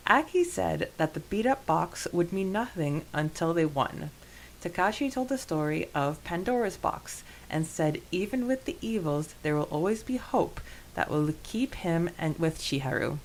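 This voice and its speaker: A woman's voice: on the low side, rough recording. A woman hosting a podcast that discusses a book, mixing prepared notes with talk. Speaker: podcast host. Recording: noticeable background hiss.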